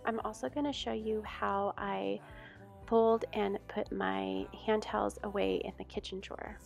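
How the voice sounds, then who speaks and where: A relaxed woman in her thirties walks through a simple home project step by step, with easy fillers along the way. Her voice has a gravelly edge, and soft music sits faintly behind her. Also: mid-range voice, unhurried. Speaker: home vlogger. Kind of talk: casual tutorial voice-over.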